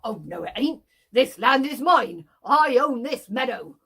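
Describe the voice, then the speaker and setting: A woman's voice: on the high side, a little slow, gravelly, fairly bright tone, husky, exaggerated voice. A woman doing a stubborn, rude country farmer. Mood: scornful. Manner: blunt and rude, insulting.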